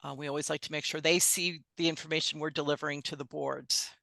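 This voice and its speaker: A woman's voice: sits low, level tone, fast talker, vocal fry, bright, forceful tone, no echo. A woman hosting an online board meeting, chatty and affirming as she leads the discussion. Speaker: meeting host. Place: video call.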